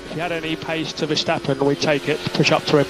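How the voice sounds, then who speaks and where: A man's voice: on the high side, flat delivery, quick pace, background noise. A man working as a race engineer, giving procedural, repeated instructions to a driver over team radio.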